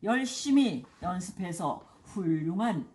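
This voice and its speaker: Storybook goat teacher, voiced by a woman: encouraging, projected, mellow tone in a roomy space. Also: on the low side, lively intonation, slow pace. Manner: clear projected instructing, encouraging. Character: encouraging goat teacher, conductor of forest animal children. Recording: roomy echo, faint background noise.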